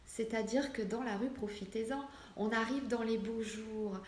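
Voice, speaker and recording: A woman in her forties with a fairly high voice talks casually to her online followers. She is earnest and emphatic, often putting questions straight to the listener. The recording is echoey, with some noise behind her. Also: steady tone, moderate pace. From France, Portuguese family background. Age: 40s. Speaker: medium addressing online followers. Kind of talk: casual motivational vlog. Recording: roomy echo, clear background noise.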